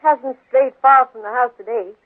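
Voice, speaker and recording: An actress playing a plain, hospitable, uneasy rancher's wife in a 1950s radio western, with clipped, folksy country phrasing. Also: female voice, high-pitched, moderate pace. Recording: muffled, narrow 1950s radio recording.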